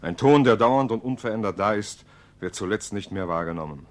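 A man's voice: on the low side, lively intonation, fairly quick, natural voice. A man playing a stern, weary prophet of the streets in a German radio play, speaking curtly and solemnly in maxims. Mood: solemn conviction. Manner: solemn, curt, sententious.

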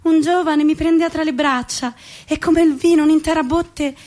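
Woman playing a haughty, high-voiced young lady. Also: fairly even intonation, moderate pace, a little mellow, natural voice. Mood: dreamy. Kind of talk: theatrical comic stage acting.

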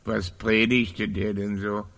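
An actor playing a grumpy, world-weary old knight in a German radio play, curt and dismissive. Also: male voice, lowish voice, level tone, takes it slowly, vocal fry, a bit bright, in a large hall, heavily acted. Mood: stubborn.

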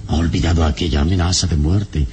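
An actor playing a calm, commanding heroic adventurer in a radio serial, with measured, authoritative delivery. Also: male voice, sits low, close to an everyday voice. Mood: measured, cautioning. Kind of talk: radionovela acting.

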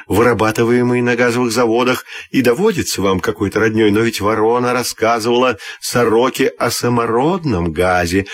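A man's voice: medium pitch, natural voice. A man voicing quarrelsome, spiteful firewood in a children's radio fairy tale, taunting and goading. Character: quarrelsome, spiteful, shrieking firewood logs stirring up trouble.

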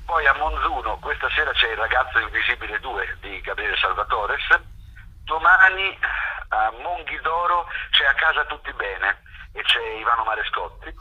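A man's voice: medium pitch, sharp tone, husky. A man gives a spontaneous radio interview over the telephone, hesitating and repeating words as he explains. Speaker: festival curator and film producer, interview guest. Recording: harsh, lo-fi telephone radio call.

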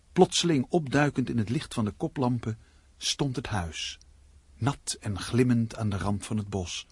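An ominous radio thriller narrator. His voice is very husky and mid-pitched, delivering scripted lines deliberately with dramatic pauses. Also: dry recording, full character voice.

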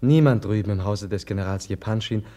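An actor as a gentle, husky-voiced young prince. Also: male voice, lowish voice, brisk, lightly acted. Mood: mild. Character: gentle young Prince Myshkin, earnest and kind. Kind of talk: 1950s German radio play acting.

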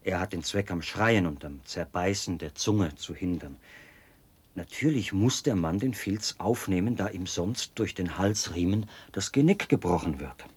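An actor playing a zealous young penal colony officer and judge in a German radio drama, explaining in precise, formal standard German with a husky voice. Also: male voice, sits low, expressive, unhurried, exaggerated voice. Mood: matter-of-fact, instructive.

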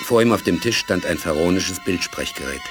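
A man as the grave, measured narrator of a sci-fi radio play, reading scripted narration. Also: low-pitched, lively intonation. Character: grave, measured male storyteller of space saga. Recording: music behind the narration.

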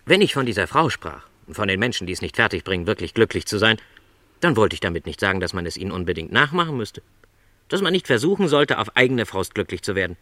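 Low-voiced, very expressive middle-aged salesman. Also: fast, no room sound. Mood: earnest. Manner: courteous, careful, apologetic phrasing. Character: no-longer-young travelling salesman, gentle, earnest, lonely. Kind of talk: radio play dialogue.

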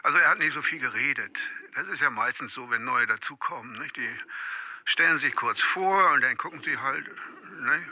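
A man's voice: medium pitch, animated, normal speed, bright, forceful tone, no echo, rough recording. A man playing a hesitant, easily embarrassed witness in a naturalistic radio crime drama, rambling and adding little questioning tags. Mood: tentative. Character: hesitant, embarrassed, eager-to-help male witness phoning police.

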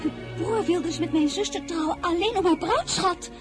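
An actress playing a spirited teenage merchant's daughter in a radio play, direct and teasing. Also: female voice, extremely high pitch, normal speed, over loud music. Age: young adult. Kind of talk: radio drama acting.

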